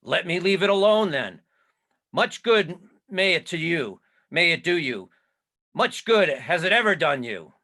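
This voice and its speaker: A man's voice: on the high side, very animated, a little slow, sharp tone, full character voice. A man playing a bitter old miser in a dramatic reading of a Victorian story, curt and scornful. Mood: irritated.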